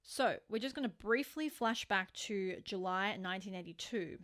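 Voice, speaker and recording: Female podcast host for conversational true-crime narration, medium pitch, casual wording with punchy emphasis on turns. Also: lively intonation, normal speed, a bit bright.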